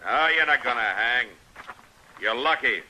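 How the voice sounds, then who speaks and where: An actor playing a stern, weary American marshal of the Old West, terse and commanding, in old-time radio western style. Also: male voice, mid-range voice, lively intonation, measured pace, sharp tone. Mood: shouting, commanding. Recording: noisy old radio drama recording.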